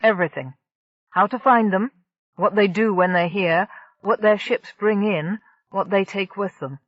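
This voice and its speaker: A woman's voice: mid-range voice, a little slow, no room sound, lightly acted. A woman voicing an earnest male diplomat who explains things precisely. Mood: insistent. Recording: dull lo-fi dry recording.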